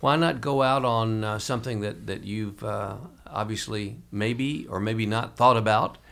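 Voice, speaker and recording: A man hosting a casual interview, speaking in halting, hesitant phrases. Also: lowish voice, steady tone, gravelly, fairly bright tone. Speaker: podcast host.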